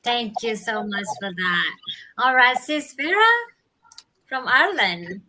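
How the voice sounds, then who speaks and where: Host, middle-aged woman from indonesia, bright, a touch high, upbeat, welcoming. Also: animated, slow. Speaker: host of a live stream. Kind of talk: live video stream hosting.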